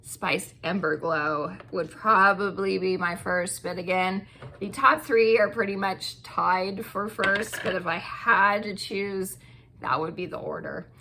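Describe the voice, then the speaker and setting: A woman's voice: medium pitch, slow pace, sharp tone, slight background noise. A woman hosting her own channel, chatting casually and gushing with many ums while losing her voice. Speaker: channel host reviewing wax melts. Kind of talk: casual product review.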